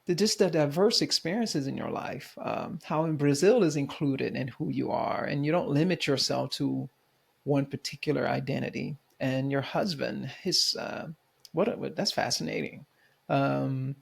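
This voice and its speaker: Man, podcast host, slow, halting, affirming, gravelly, medium pitch. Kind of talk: conversational interview.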